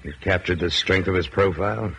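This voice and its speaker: An actor playing a tough, steady rancher hero who narrates a radio western in a terse, commanding manner. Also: male voice, low-pitched, fairly quick, creaky, a little hiss or hum, exaggerated voice, rough recording. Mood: calm, resolute. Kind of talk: 1950s radio western acting. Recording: vintage radio drama recording with music.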